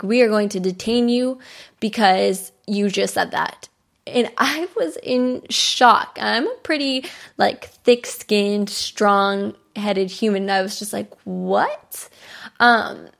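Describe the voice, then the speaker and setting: A woman's voice: mid-range voice, vocal fry. A young American woman hosting a podcast, telling a personal story in a bubbly, chatty way with frequent laughs. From United States. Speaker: podcast host.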